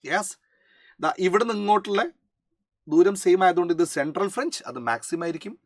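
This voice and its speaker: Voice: medium pitch, animated, a little fast, no room sound, clean studio sound. A physics teacher with a Malayali accent, explaining a worked problem step by step, mixing in English terms and often asking short check questions. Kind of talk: explanatory lesson working through a problem.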